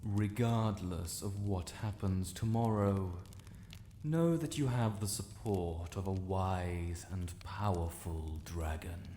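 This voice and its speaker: In a long, echoing reverb, an ancient British dragon lord acted by a man addresses the listener. The voice is low and very slow, with formal, stately diction. The character is regal and stern yet protective, as in a fantasy audio drama. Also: mellow, a little hiss or hum. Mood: calm, courteous. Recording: echoey cavernous reverb.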